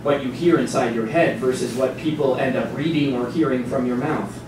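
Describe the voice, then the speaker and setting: A man's voice: medium pitch, moderate pace. A man reading scripted dramatic narration on stage. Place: small stage before a seated audience. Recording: distant mic, very echoey hall, noisy.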